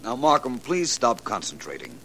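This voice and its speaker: A man playing a suave, self-assured gentleman detective in a 1940s radio drama, urbane and dry. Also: mid-range voice, huge pitch swings, normal speed. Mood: calm. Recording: old radio recording with faint hiss.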